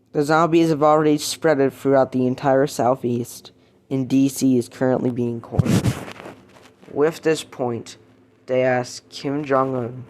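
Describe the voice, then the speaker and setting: A man's voice: medium pitch, a little mellow. Man narrating amid noticeable noise. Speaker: creator and narrator of an amateur scenario video. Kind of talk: storytelling narration.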